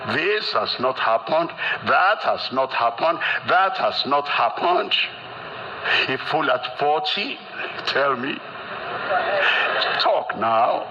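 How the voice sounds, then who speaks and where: An older man delivering an emphatic sermon, speaking deliberately and repeating phrases for emphasis. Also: medium pitch, dull audio, noisy background. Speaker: preacher.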